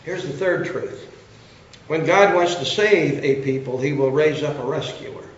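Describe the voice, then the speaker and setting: Older male preacher, fairly high voice, rough throat, very echoey church, dull sound. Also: unhurried. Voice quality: coughing, cough drop in mouth. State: losing his voice, throat trouble. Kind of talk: sermon storytelling.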